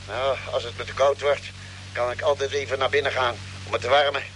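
A man playing a calm, caring spaceship doctor with a measured, deliberate way of explaining, in a vintage Dutch radio drama. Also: mid-range voice, natural voice. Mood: calm, brisk. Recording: noisy old radio drama recording.

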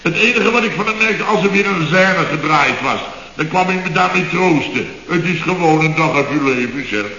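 Grumbling male boss, sharp bright voice, sarcastic, indignant, radio comedy, echoey old recording. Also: on the high side, lively intonation, normal speed, vocal fry, muffled recording, slight background noise, heavily acted. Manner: grumbling, sarcastic, muddles his expressions. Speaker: actor in a radio comedy serial.

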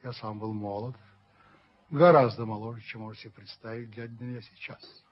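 A man playing a stern, dignified middle-aged father in a radio drama, speaking in a measured, formal way. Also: mid-range voice, steady tone, lightly acted. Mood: stern, deliberate. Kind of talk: Soviet radio drama acting. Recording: dull, noisy vintage radio-play tape.

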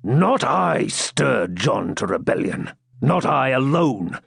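A man's voice: mid-range voice, very animated, slow, creaky, fairly bright tone, slightly rough, no room sound, clean studio sound, exaggerated voice. A man doing a growling, defiant dying baron. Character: dying savage old baron, stubborn and fierce.

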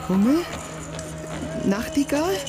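A woman's voice: sits high, very animated, slow, over loud music, heavily acted. A woman playing a frightened, polite young child in a radio drama, timid, stammering and pleading. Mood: timid, puzzled.

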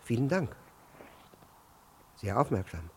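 An actor in a German radio play playing a pompous, easily offended older gentleman with a husky voice and a formal, stammering manner. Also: mid-range voice, big pitch swings, slow pace, slight background noise. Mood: awkwardly polite. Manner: formal, indignant, stammering, fussily polite.

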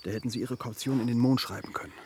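A man's voice: medium pitch, steady tone, racing, a little mellow, a little hiss or hum, close to an everyday voice. An actor playing a furtive, evasive male visitor in a radio play, speaking in a guarded, hesitant, conversational way. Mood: urgent, hushed. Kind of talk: naturalistic radio play acting.

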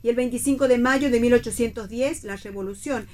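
An actress playing a disapproving, conservative middle-aged mother in radio theatre, with an Argentine accent and a sharp, reproachful manner. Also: a little high, brisk, lightly acted. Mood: defensive, pointed.

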